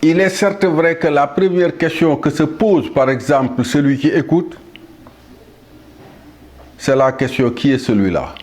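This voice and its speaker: A man in his seventies from Senegal, delivering a solemn monologue to the world in a measured, halting way with pauses and restarts. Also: on the high side, darkish tone. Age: 70s. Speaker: speaker addressing the world.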